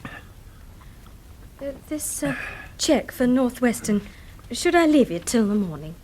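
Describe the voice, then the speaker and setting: An actress playing an attentive, efficient secretary with a British accent in a radio drama. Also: female voice, a little high, very animated, measured pace, darkish tone, slight background noise. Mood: concerned, polite. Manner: polite, efficient. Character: attentive, solicitous female office secretary.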